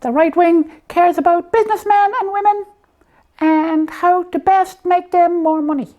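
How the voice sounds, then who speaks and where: A tiny, very high puppet voice, belonging to a slipper who hosts a comedy show. It explains things in simple, halting, matter-of-fact phrases and is easily exasperated. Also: female voice, steady tone, phone-quality sound. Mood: explaining. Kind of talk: comic puppet dialogue explaining a topic simply.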